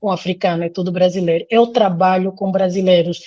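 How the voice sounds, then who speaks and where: A woman's voice: lowish voice, fairly even intonation, brisk, mellow, no echo, muffled recording. An Angolan woman with a Brazilian-tinged Angolan Portuguese accent, hosting a live talk and speaking emphatically, repeating phrases for stress. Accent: Angolan Portuguese with Brazilian influence. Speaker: live show host. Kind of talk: casual conversational interview.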